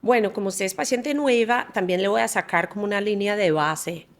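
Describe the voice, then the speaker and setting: A woman from the United States in her forties whose first language is English, telling her story as a podcast guest in an animated way, laughing often and restarting her phrases. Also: medium pitch, brisk. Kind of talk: candid conversational storytelling.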